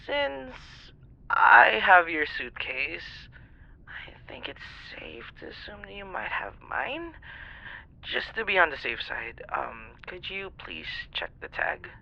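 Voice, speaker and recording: A man's voice: high voice, wildly swooping pitch, takes it slowly, vocal fry, pushed and bright, exaggerated voice. A man playing a flustered, apologetic young stranger on a phone call, rambling and hesitant in an intimate ASMR roleplay style. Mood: apologetic, relieved. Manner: hesitant, stammering, sighing, rambling.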